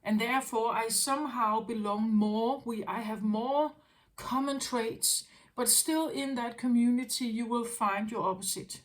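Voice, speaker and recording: A woman's voice: fairly high pitch, measured pace, poor audio. A woman talking as a tarot reader in an unscripted spiritual monologue, musing aloud, repeating her phrases and often checking in with "right?".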